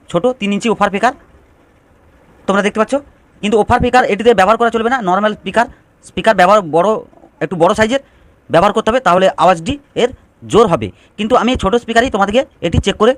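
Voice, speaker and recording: A man's voice: high-pitched, a bit bright, no room sound. A man giving an informal step-by-step DIY electronics tutorial, explaining and restating phrases as he goes.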